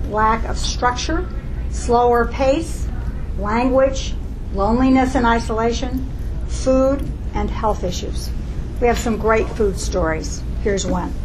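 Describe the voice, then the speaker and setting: A fairly high-voiced woman presents a book she co-wrote, reading through lists with a few "uh" fillers. A steady low rumble sits under her voice throughout the recording.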